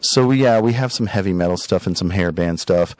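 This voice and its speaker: A man hosting a podcast in a casual, rambling way, showing items for sale with drawn-out emphasis and frequent tag questions. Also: low voice, a little mellow, no echo. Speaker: podcast host and record shop seller. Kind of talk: casual rambling product showcase.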